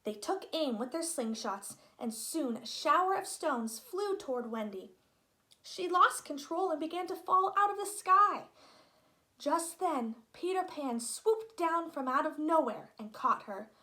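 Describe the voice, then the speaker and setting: A woman reading a children's story aloud as an expressive narrator. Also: high-pitched, a little slow. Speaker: storybook reader.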